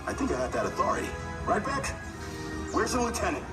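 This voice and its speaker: An actor playing a brisk male resistance officer giving orders. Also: high voice, wildly swooping pitch, reverberant. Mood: commanding. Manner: commanding, brisk. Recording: film audio heard far off under loud music.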